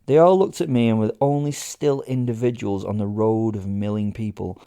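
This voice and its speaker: Low, mellow man telling a sardonic story. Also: moderate pace, smooth. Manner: sardonic, theatrically indignant. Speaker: narrator in character as a werewolf. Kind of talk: first-person dramatic storytelling, conversational and sweary.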